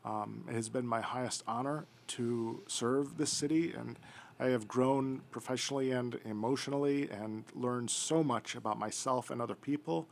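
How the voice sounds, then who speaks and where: A man seeking reelection at a local candidate forum, speaking sincerely and gratefully, halting with fillers. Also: mid-range voice, gravelly.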